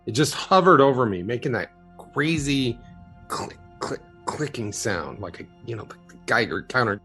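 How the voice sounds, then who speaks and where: A man playing a haunted, earnest UFO witness in an audio drama, telling his story haltingly. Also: mid-range voice, animated, fairly bright tone, breathy edge, soft background music.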